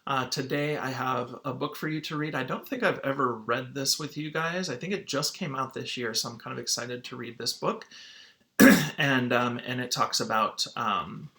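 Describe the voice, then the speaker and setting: A man's voice: mid-range voice, normal speed, sharp tone. A man speaking as a teacher to his students, in an informal read-aloud lesson style.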